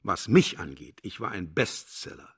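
A man's voice: low-pitched, big pitch swings, normal speed, creaky, husky, heavily acted. A man playing a vain, contemptuous philosopher in a radio play, declaiming and lecturing grandly. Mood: self-satisfied, lecturing. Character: pompous, cynical male philosopher, vain and contemptuous. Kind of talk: German radio play acting.

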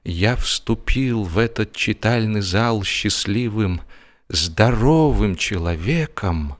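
A man narrating a humorous first-person story in a theatrical, expressive audio-drama style. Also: on the low side, slow pace. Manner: expressive, acting out comic hesitations and dialogue. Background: music.

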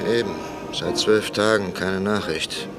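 An actor playing a middle-aged Reichstag deputy, blunt and sardonic, in a radio drama. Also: male voice, deep voice, fairly even intonation, measured pace, over loud music. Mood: stern. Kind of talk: 1960s radio drama acting.

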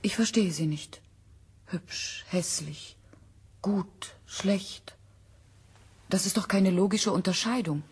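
A woman's voice: mid-range voice, takes it slowly, long pauses, a little mellow, slightly rough. An actress playing an artificially created young woman in a 1970s German radio drama, terse, literal and detached, still learning human feelings. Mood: cool, curious.

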